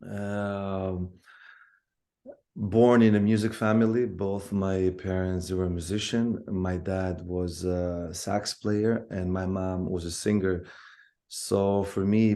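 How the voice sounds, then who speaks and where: A man with an Albanian accent, a guest telling his life story in a casual interview, often pausing to search for words. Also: low-pitched, warm tone. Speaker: guest musician.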